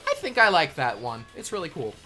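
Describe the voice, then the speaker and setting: A man's voice: fairly high pitch, big pitch swings, a little fast, bright, forceful tone, soft background music. A man casually hosting a video game playthrough, reading out lines as he plays. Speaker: gameplay host.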